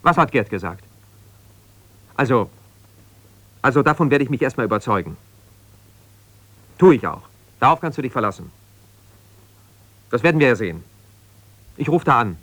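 A middle-aged husband who feels wronged and keeps justifying himself. His mid-pitched voice argues in broken, reproachful sentences, in the natural manner of a 1970s radio play. The sound is dry, with no room around it. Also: sing-song, a bit bright, no room sound. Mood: indignant. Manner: halting, argumentative, reproachful, breaking off mid-sentence. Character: aggrieved, self-justifying husband, an ambitious lawyer. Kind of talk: naturalistic 1970s German radio drama, one-sided conversation.

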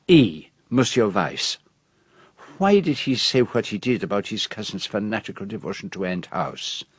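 A fussy Belgian detective in a radio play, with a French-tinged Belgian accent. His husky voice is close and dry, and he speaks precisely, weighing each phrase with theatrical, self-dramatising pauses. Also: on the low side, no room sound, heavily acted.

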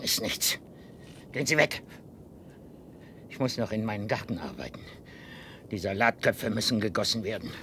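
A man's voice: fairly low pitch, a bit bright, a little hiss or hum. An actor playing a confused, hostile older father who guards his garden obsessively, agitated and repetitive, in a science-fiction radio play. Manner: agitated, repetitive, fixated, muttering at times.

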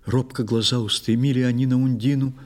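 A man narrating a children's audio play in verse with a theatrical, expressive delivery. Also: medium pitch, a little fast. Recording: long hall-like reverb.

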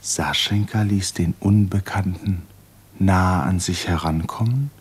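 Deep, husky male narrator. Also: a little slow. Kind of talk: scripted literary narration for radio drama.

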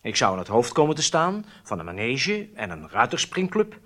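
An actor playing a weak-willed, cornered spy who confesses, hesitant and submissive, in a radio drama. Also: male voice, medium pitch, huge pitch swings, normal speed, a bit bright. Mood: confessing.

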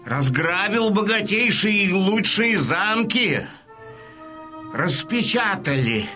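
A man's voice: high voice, bright, forceful tone, full character voice. An actor playing a sorrowful wounded Cossack who tells his tale solemnly and dramatically in an audiobook drama.

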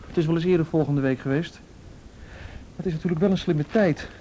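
Actor as mellow, thoughtful male conspirator. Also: close to an everyday voice. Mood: wary. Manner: conversational, reflective, measured. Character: thoughtful, steady male conspirator, quietly nervous. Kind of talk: Dutch radio play acting. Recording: dull, noisy radio recording with rumble.

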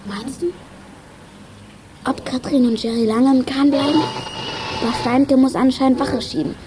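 A woman's voice: sits high, fairly even intonation, moderate pace, background noise, natural voice. A woman playing a plucky, impatient young girl detective in a children's radio play. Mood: impatient, alert.

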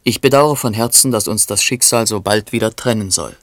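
A man's voice: lowish voice, brisk, no echo, natural voice. A man playing a dry, knowing chronicler in a literary radio play, narrating tersely with faint irony. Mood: matter-of-fact. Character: dry, knowing male chronicler of a poet's life.

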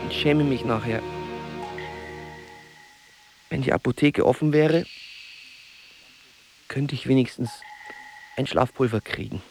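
An actor playing a tormented, lonely old artist, brooding and lovesick, with halting, theatrical delivery in a literary radio play. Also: male voice, medium pitch. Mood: weary, wry. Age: older. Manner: theatrical, halting, weighty delivery with repeated words. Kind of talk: literary radio drama monologue and dialogue. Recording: music playing behind the voice. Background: music.

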